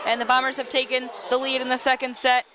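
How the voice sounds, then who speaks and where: A woman's voice: high voice, completely flat delivery, fast talker, a crowd in the background. A woman giving live play-by-play commentary as a sports announcer. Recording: clear gymnasium and ballpark ambient noise.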